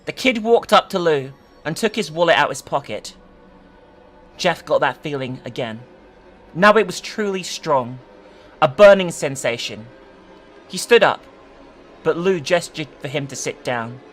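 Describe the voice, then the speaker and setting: Animated, bright-voiced storyteller over music. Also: mid-range voice, measured pace, pauses often. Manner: expressive, sweary and incredulous in asides. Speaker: narrator and commentator. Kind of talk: dramatic reading of an internet horror story with asides.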